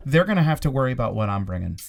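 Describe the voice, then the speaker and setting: A man's voice: mid-range voice, sing-song, rapid-fire, vocal fry, slight background noise. A man co-hosting a podcast interview, asking long rambling questions full of restarts. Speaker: podcast co-host and fight commentator. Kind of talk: casual interview.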